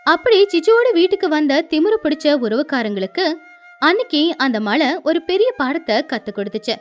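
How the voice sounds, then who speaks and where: A woman narrating a scripted children's story as an expressive storyteller. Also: sits high, fairly quick, soft background music.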